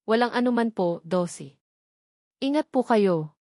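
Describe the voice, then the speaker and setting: A woman voicing a polite, shy young male hospital guard in a storybook drama, with a soft, deferential manner. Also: on the high side, animated, measured pace, no room sound, clean studio sound. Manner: soft-spoken, deferential, humble.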